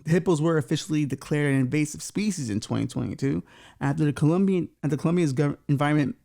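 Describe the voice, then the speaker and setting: Guy w a gravelly, kinda warm voice doing crude comedy bits about the news, swears a lot. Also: on the high side, fast. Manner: crude, mocking, swears freely; reads news aloud with stumbles and asides. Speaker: podcast host. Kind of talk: comic riffing on news stories.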